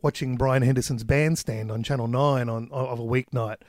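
A man's voice: medium pitch, fairly even intonation, moderate pace, darkish tone, no echo. Aussie guy in his 50s rambling through old stories, easygoing, dry close sound. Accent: Australian. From Australia. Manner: rambling, laid-back storytelling with laughs. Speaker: guest musician. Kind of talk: casual conversational interview.

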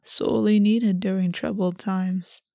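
A woman reading the part of a measured, cold-edged male prince in a fantasy audiobook, speaking slowly and softly. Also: medium pitch, fairly even intonation, vocal fry, dry recording, studio quality. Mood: grave.

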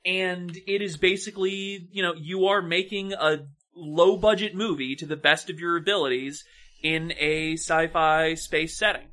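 A man's voice: on the high side, fairly even intonation, measured pace, bright, forceful tone. A man co-hosting a podcast, chatting casually and giving his recommendations. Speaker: podcast co-host.

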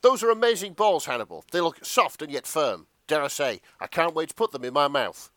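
A man's voice: on the high side, lively intonation, fairly quick, sharp tone, dry recording. A man voicing a blustering, flirty celebrity chef in a comic story reading. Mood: lustful, flirty.

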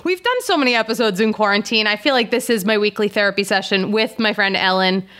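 A woman's voice: medium pitch, fast talker, a bit bright. A woman in her thirties hosting a podcast over a video call, chatty and rambling, laughing often. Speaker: podcast host. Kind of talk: casual podcast chat.

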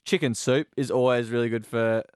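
A man's voice: medium pitch, steady tone, fairly quick, darkish tone, clear, resonant tone, no echo, clean studio sound. A man co-hosting a podcast in casual chat, laughing often and talking to listeners at home. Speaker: podcast co-host.

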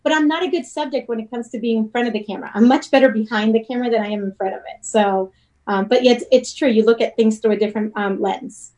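A woman's voice: a little high, fast, a little mellow. A woman from Puerto Rico talking casually as an interview guest, explaining her work. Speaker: interview guest, wedding photographer and blogger.

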